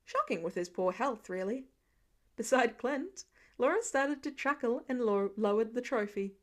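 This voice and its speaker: A woman with a high voice reads prose fiction aloud. Her delivery is expressive, scripted storytelling in the manner of an audiobook. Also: moderate pace. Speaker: audiobook-style fan fiction reader.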